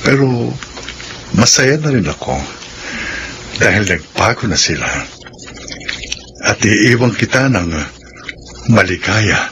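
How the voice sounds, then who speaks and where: Malungkot na manliligaw, mababang boses. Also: male voice, on the low side, lively intonation, slow pace, long pauses, a bit bright, a little hiss or hum. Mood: wistful, resigned. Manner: earnest, wistful. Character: gentle, resigned rejected suitor bidding farewell. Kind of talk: Filipino radio drama acting.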